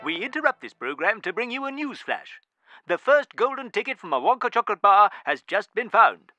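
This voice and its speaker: An actor playing a formal male TV news announcer with crisp delivery in a radio drama. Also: sits high, sing-song, a little fast, pushed and bright, faint music behind, exaggerated voice.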